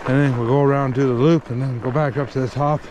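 A man casually narrating his fat bike ride outdoors, thinking aloud in a relaxed, halting way. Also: medium pitch, background noise. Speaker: vlogger riding a fat bike. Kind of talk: casual narration while riding. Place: outdoors on snowy wooded trails.

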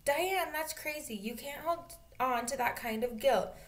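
A woman reading aloud as a reasonable, soothing father in a storybook, calm and reassuring. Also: high voice, a little slow, pitched above the performer's own voice. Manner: calm, reassuring but unsure.

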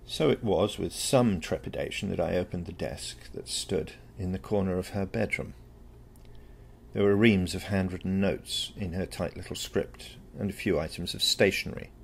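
A man's voice: sits low, moderate pace, creaky, a little hiss or hum. A man reading a first-person story as scripted narration. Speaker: story reader.

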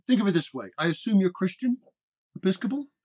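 A man playing a calm, measured, wry paranormal detective in a horror story. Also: sits high. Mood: wry, explaining.

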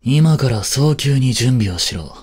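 An actor playing a cold, haughty young prince in an audio drama, with a husky, breathy voice and a curt, imperious manner. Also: male voice, mid-range voice, lively intonation, unhurried, a little mellow. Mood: stern, scolding. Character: cold, haughty young prince scolding and fretting over his maid. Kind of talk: Japanese audio drama acting.

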